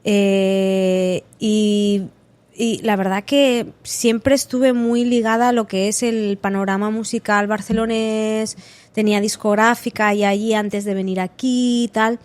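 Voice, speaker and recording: A woman's voice: mid-range voice, measured pace. A woman from Catalonia with a Spanish accent, a singer chatting as a podcast guest, telling stories animatedly and acting out what people said, with frequent restarts. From Catalonia, Spain. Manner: lively storyteller, acts out quotes, frequent restarts and laughter. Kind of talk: casual interview chat.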